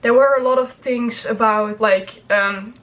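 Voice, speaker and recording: A woman's voice: fairly high pitch, fairly even intonation, measured pace, fairly bright tone. Casual, rambling woman vlogger. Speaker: YouTube reaction video host. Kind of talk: casual chat to viewers.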